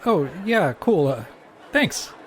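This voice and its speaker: A man's voice: a little high, sing-song, slow, slight background noise. An actor playing a nervous, awkward but friendly young man in an audio drama, stammering bashfully.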